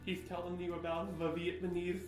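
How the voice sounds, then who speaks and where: A man with a lisp co-hosting a podcast in casual chat, rambling and jokey with quick tangents. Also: high-pitched, monotone, a little mellow, reverberant. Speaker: podcast co-host.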